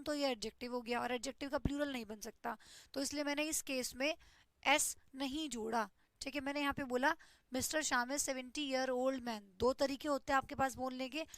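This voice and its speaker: A woman's voice: high voice, a bit bright, dry recording. A woman teaches an online English grammar class, explaining step by step and checking in with "ठीक है". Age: 20s.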